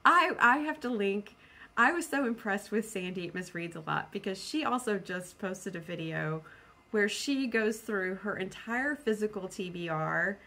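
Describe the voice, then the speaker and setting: A woman's voice: mid-range voice, lively intonation, moderate pace, sharp tone. A woman chatting casually as a book vlogger, rambling and self-deprecating, repeating phrases for emphasis and acting out her own thoughts. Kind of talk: casual, rambling video chat about personal plans.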